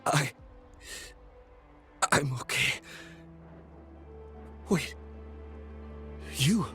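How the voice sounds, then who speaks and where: A man playing an earnest, eager young adventurer in a video game, halting, breathless and easily flustered. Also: on the high side, animated, bright, forceful tone, faint music behind, full character voice. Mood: grateful, awestruck.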